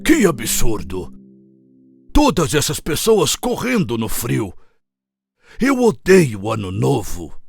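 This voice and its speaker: A man's voice: medium pitch, wildly swooping pitch, takes it slowly, creaky, long pauses, faint music behind, exaggerated voice. A man voicing a grumpy, miserly old man in a children's cartoon story, scolding theatrically. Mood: grumpy scorn. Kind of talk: animated children's story acting.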